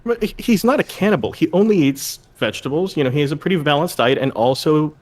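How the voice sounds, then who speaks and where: A man's voice: a little high, expressive, quick pace, a little mellow. A man playing a tabletop game, joking casually with dry, mock-earnest humour.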